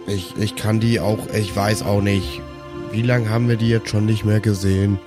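Male tabletop player, low voice, improvising casual in-character talk with music underneath. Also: steady tone, normal speed. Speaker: tabletop role-play player.

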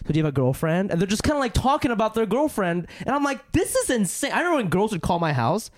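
Fast-talking man, rambling chat. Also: sits high, sing-song, no echo. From Japan. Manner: fast, rambling storytelling with many restarts. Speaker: podcast co-host. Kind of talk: casual chat.

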